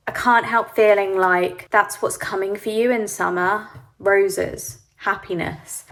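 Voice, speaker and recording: A woman giving a pick-a-card tarot reading, sniffly from hay fever, speaking gently and reassuringly to the viewer. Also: medium pitch. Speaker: tarot reader.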